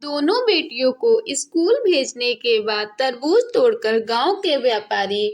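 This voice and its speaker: Children's cartoon narrator: woman, high voice, scripted storytelling delivery. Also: very animated, unhurried, soft background music.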